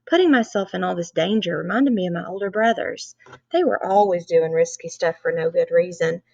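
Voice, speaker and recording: A woman narrates a children's book with lively expression. Her voice has a gravelly fry at the edges. The recording is dry and a little dull. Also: lowish voice, brisk, dry recording. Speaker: read-aloud reader.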